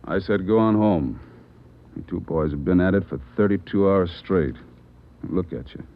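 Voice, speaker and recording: A firm police boss, commanding, very deep voice, muffled old radio. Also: male voice. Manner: commanding, brisk. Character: firm male police superior giving orders. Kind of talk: 1940s radio crime drama. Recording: muffled 1940s radio broadcast.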